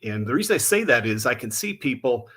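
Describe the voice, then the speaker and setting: A man guesting on a podcast as a sales consultant, talking in a relaxed, measured way with a few fillers. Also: mid-range voice, slightly rough. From United States. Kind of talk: casual interview talk.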